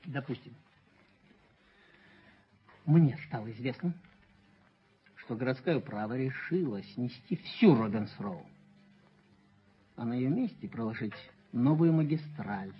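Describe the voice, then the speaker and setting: An actor playing a shrewd, wheedling newly prosperous speculator in a radio play, with an insinuating conversational manner. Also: male voice, medium pitch, lively intonation, a little slow, long pauses, slightly rough. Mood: prodding. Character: shrewd, wheedling former rent collector turned prosperous speculator. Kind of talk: Soviet radio play acting. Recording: dull 1970s radio drama recording.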